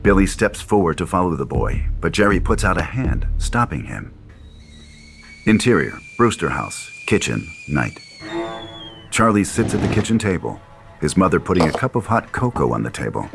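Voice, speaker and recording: A man with a very deep voice reads horror stage directions as a narrator. His scripted delivery sits over clearly audible music. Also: big pitch swings, normal speed. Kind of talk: scripted screenplay narration, horror table read. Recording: loud music under the voice.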